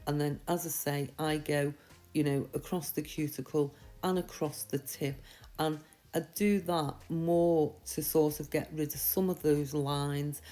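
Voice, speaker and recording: A woman with a slightly croaky voice from a mild sore throat, giving a chatty, reassuring, step-by-step tutorial. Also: low-pitched, fairly even intonation, a little slow, dark tone, soft background music. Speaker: nail art tutorial host. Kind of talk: casual step-by-step tutorial voice-over.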